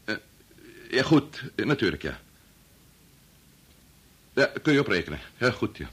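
A man's voice: lowish voice, sing-song, a little slow, a bit bright, a little hiss or hum. An actor playing a middle-aged, preoccupied and domineering doctor and father in a Dutch radio drama, muttering or curt. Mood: flustered, deferential. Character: preoccupied, domineering male doctor-scientist, father of a grown son.

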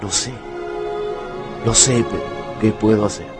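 A man's voice: fairly low pitch, unhurried, over music, natural voice. An actor playing a kind, patient man who tames a wolf, speaking tenderly and earnestly in a radio drama. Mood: resigned.

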